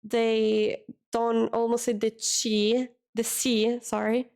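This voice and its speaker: Streamer, a woman with a slightly high voice, who speaks slowly and searches for words in casual chat. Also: level tone, creaky, a little mellow, clear, resonant tone. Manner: hesitant, searching for words, self-correcting.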